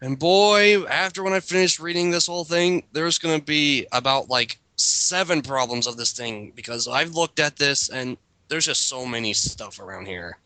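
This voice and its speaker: A man's voice: a little high, moderate pace, bright, forceful tone, dry recording, low-quality recording. A man reading aloud and riffing casually with others, exasperated and tripping over his words. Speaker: group reader mocking a written character bio.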